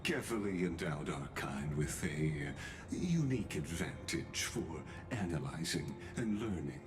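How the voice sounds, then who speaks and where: A scholarly envoy from a fantasy video game, low-voiced and slow. He is formal and deferential, with expressive swings in intonation and an enigmatic calm. Noticeable ambient noise sits behind the voice. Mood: courteous, measured. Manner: formal, deferential, measured, softly insinuating. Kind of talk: scripted video game voice acting.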